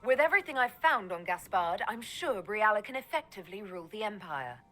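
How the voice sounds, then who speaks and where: An actress voicing a cold, sharp elf mage heroine in a video game, cutting and confident. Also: female voice, mid-range voice, big pitch swings, brisk, faint music behind.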